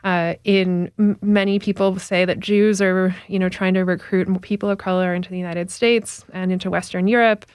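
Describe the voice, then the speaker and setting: A woman's voice: lowish voice, normal speed, hardly any pauses, smooth, no echo. A woman professor and researcher, a guest on a radio talk show, speaking analytically with frequent fillers. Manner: animated, analytical, frequent fillers, laughing asides. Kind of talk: radio interview.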